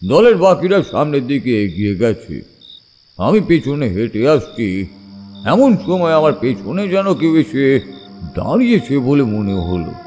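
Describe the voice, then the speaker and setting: A man playing an older village uncle who tells a suspenseful horror tale in an expressive, dramatized way. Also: medium pitch, unhurried, faint music behind. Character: older village uncle recounting his eerie boyhood adventure.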